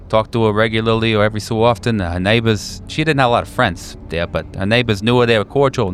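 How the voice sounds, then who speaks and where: A man playing a weary, hardened veteran handler in a tabletop roleplay, talking in a halting, offhand way that trails off. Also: lowish voice, gravelly, a little hiss or hum. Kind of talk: improvised tabletop roleplay dialogue.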